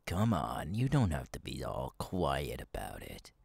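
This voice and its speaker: A man playing a menacing, taunting masked slasher killer in an ASMR roleplay, gravelly and partly whispered, speaking slowly and deliberately close to the mic. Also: extremely low pitch, wildly swooping pitch, darkish tone, no room sound, full character voice. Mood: coaxing, sinister. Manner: slow, deliberate, taunting, drawn-out pauses. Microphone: close microphone.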